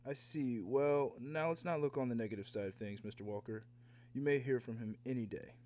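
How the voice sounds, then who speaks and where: Man playing a hesitant, placating official, medium pitch. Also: brisk, a little mellow, natural voice. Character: cautious, placating official calming a worried relative. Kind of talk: radio drama acting.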